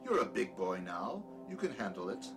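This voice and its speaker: A man's voice: low-pitched, very animated, moderate pace. A man playing a peculiar, condescending doctor with a dry, sardonic manner in an audio drama, secretly sinister. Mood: dryly sardonic. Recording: music behind the voice.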